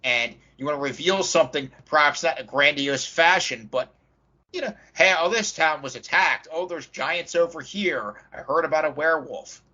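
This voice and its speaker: A man's voice: on the high side, normal speed, bright, forceful tone. A man co-hosting a casual podcast about games, with a wry way of talking. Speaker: podcast co-host. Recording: dull lo-fi audio.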